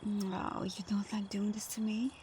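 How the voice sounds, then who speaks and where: A woman's voice: mid-range voice, level tone, measured pace, mellow, slight background noise, lightly acted. A woman playing a sly, flirtatious supervillain in a scripted ASMR roleplay, murmuring breathily with teasing hums. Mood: sly, hushed. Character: flirtatious, sly female supervillain teasing her hero nemesis.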